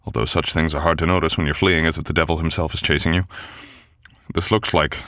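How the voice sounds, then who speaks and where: A man's voice: extremely low pitch, flat delivery, fast talker, a bit bright, no echo, clean studio sound. A man voicing a young male knight, wounded, gallant and protective, speaking in an old-fashioned courtly way. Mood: bewildered. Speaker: voice actor. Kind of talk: boyfriend ASMR audio drama.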